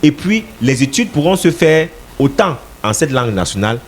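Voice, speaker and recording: A man's voice: a little high, big pitch swings, normal speed, a little hiss or hum. A man from Cameroon, a political party representative answering radio interview questions in an emphatic, insistent way, sometimes repeating words as he searches for them.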